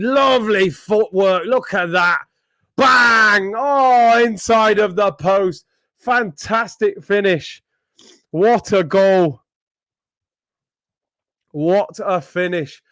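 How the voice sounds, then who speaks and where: A young man talks his way through a football match, slangy and chatty. His voice sits high for a man, and the sound is dry and close. He bursts into excited shouting when the action heats up. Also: slow, no room sound. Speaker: host of a football watchalong stream. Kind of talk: live football watchalong chat and commentary.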